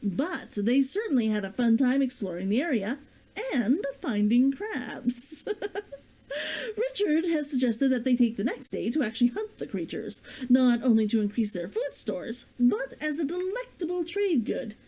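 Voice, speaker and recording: A woman streamer and author with an expressive way of talking, chatting to viewers and reading a story aloud. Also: sits high, unhurried. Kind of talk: chatty greeting, then storybook read-aloud.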